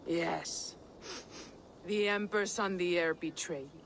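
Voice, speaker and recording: A woman's voice: fairly low pitch, slow, creaky, slight background noise. A woman playing a brazen, sly mercenary leader in a video game, speaking in a teasing, theatrical drawl. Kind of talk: dramatic video game acting.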